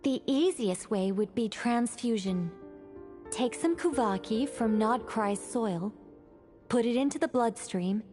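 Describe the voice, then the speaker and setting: A woman's voice: a little high, animated, slow pace, music in the background. An actress playing a self-assured scholarly woman in a video game, formal and precise as she explains at length. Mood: explanatory.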